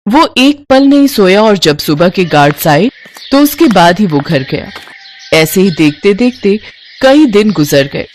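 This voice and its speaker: A narrator telling a moral story in a scripted storytelling style. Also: mid-range voice.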